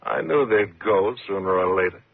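Sinister man, lowish pitch, laconic, ominous, coolly taunting, 1950s radio drama, muffled narrow-band recording. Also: lively intonation, moderate pace, creaky, background noise. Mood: taunting, menacing. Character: sinister, coolly taunting male night elevator operator. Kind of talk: 1950s radio thriller acting.

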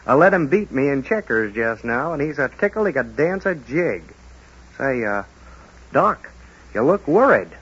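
An actor playing an excitable, good-natured small-town storekeeper in old-time radio drama, talking with rustic, homespun exclamations. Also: male voice, mid-range voice, normal speed, slightly rough, full character voice. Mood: friendly. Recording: dull old radio broadcast recording.

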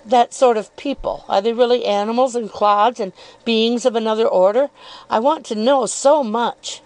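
A woman's voice: on the high side, steady tone, moderate pace, darkish tone, lightly acted, poor audio. A woman reading an audiobook, voicing a sly young woman who hints and needles with arch, probing questions. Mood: feigned delight.

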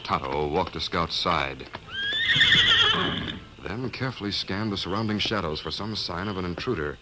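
A man's voice: deep voice, animated, moderate pace, heavy background noise. A man narrating a scripted western adventure in 1950s radio drama style. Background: music.

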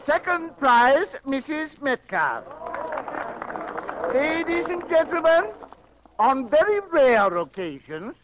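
An actor playing a pompous, gluttonous county fair judge in florid 1940s radio comedy style. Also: male voice, very high-pitched, a bit bright, exaggerated voice. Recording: dull, noisy 1940s radio broadcast.

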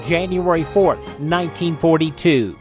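High-pitched male radio announcer over music. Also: unhurried. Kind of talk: scripted radio introduction.

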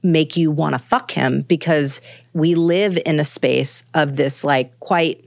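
A woman's voice: low voice, slow pace, vocal fry, no echo, clean studio sound. A woman author talking about her book as a podcast guest, thinking aloud in a meandering way with many fillers and restarts. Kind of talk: casual conversational interview.

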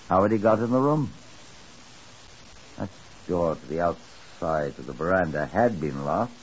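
An actor playing a dry, methodical British chief inspector in a 1950s radio drama, measured, deliberate and faintly sardonic. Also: male voice, sits low. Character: dry, methodical police chief inspector narrating his case. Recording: muffled, hissy 1950s radio recording.

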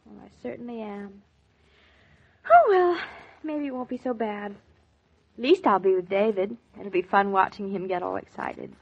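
A woman's voice: medium pitch, measured pace, creaky, breathy edge. An actress playing a girlish, playful young newlywed wife in a 1940s American radio drama, chattering naively and animatedly. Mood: complaining. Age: young adult. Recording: muffled 1940s radio recording.

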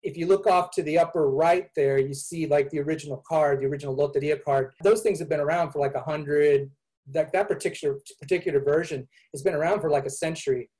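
Higher-pitched man, rambling artist guest on a call. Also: steady tone, fast talker, a little mellow, low-quality recording. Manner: rambling, with restarts and "like". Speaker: illustrator guest. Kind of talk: panel discussion on a call.

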